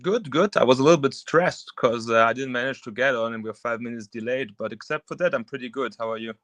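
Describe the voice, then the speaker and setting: A man's voice: medium pitch, quick pace, a bit bright. A man whose native languages are German and French, speaking English with that accent as a guest, careful and a little hesitant. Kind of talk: casual interview talk.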